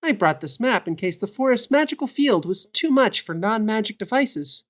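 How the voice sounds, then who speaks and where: A woman's voice: medium pitch, expressive, moderate pace, lightly acted. A woman playing a wary, caring and easily exasperated male adventurer in an audio drama. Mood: doubtful, wry.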